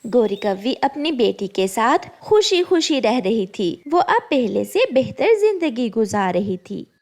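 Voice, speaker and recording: Female storyteller for kids' cartoon tales, scripted narration, swooping intonation, unhurried pace. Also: on the high side, sing-song, a little mellow.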